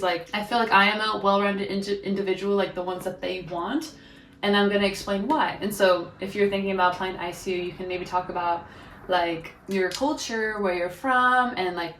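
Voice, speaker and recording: A young American woman in her twenties talks casually to her viewers, dropping "like" into nearly every sentence. Her voice sits at an ordinary middle pitch. The room around her gives the recording a noticeable echo. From United States. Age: 20s. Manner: chatty, heavy use of "like". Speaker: vlogger. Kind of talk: casual walkthrough. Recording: roomy echo.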